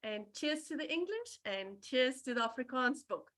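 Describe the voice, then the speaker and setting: A woman's voice: sits high, expressive, moderate pace, a bit bright. A woman reading her prepared remarks at a live book launch, chatty and scattered, with many ums. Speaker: book designer and translator.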